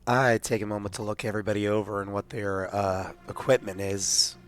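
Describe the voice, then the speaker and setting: Low-voiced man playing a tabletop game. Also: little pitch movement, brisk. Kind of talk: casual, improvised game talk.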